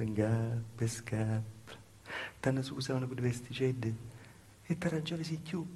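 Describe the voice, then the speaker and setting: A male stage actor with a Calabrian accent playing a plaintive, naive southern peasant woman recalling a hard childhood in an intimate, halting, repetitive dialect monologue. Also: mid-range voice, a little mellow, a little hiss or hum, close to an everyday voice.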